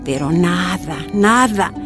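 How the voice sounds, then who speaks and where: A woman's voice: lowish voice, lively intonation, takes it slowly, fairly bright tone, faint music behind, full character voice. A woman playing a miner's daughter telling a sombre first-person memory in a radio drama. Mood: grieving. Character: sorrowful miner's daughter recalling her childhood.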